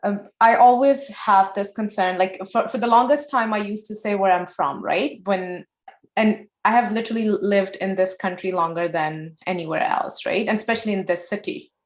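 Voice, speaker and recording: A woman in her forties moderating a panel discussion, lively and acting out bits of dialogue. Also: mid-range voice, moderate pace. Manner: lively, acts out dialogue in changing tones. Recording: echoey room sound.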